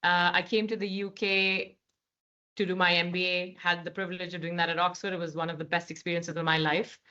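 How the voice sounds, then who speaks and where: A woman's voice: on the low side, flat delivery, a little fast, bright, forceful tone, clear, resonant tone. A woman in her thirties with an Indian accent, a guest speaking articulately in a podcast interview with frequent fillers. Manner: articulate, many fillers and restarts. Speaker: guest, managing director. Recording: slightly dull lo-fi sound.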